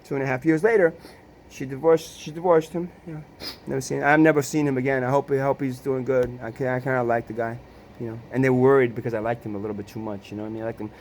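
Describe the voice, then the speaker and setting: A man's voice: medium pitch, steady tone, quick pace, darkish tone, slight background noise. A man telling a personal family story in casual talk, stumbling over restarts and repeated words, swearing often and tossing in sarcastic rhetorical questions. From Italy. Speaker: storyteller recounting his family.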